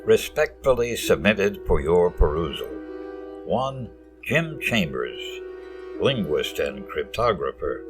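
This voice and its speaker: A man performs as a solemn, wry male narrator of a radio play. His formal, dramatic delivery rises and falls widely in a low voice. Music plays clearly behind him. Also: big pitch swings, slow pace, full character voice.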